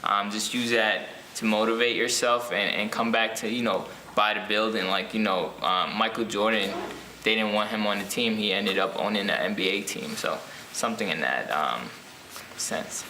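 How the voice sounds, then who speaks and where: A young man from Ethiopia, an author answering interview questions casually, pausing often with ums and restarts. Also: lowish voice, pushed and bright, reverberant, a little hiss or hum, rough recording. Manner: hesitant, many ums and restarts.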